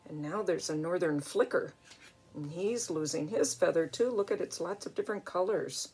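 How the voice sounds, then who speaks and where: An older woman, a grandmother, reading a picture book aloud in an expressive way and chatting to a young child with little exclamations. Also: fairly low pitch, normal speed, slight background noise. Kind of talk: storybook read-aloud with chatty asides to a child.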